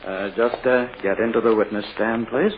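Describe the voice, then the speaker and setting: A man playing an insistent, self-satisfied prosecutor who questions in a halting, courtly, officious way, as in 1940s radio drama. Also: on the low side, fairly even intonation, close to an everyday voice. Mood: impatient, officious. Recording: dull, noisy old-time radio recording.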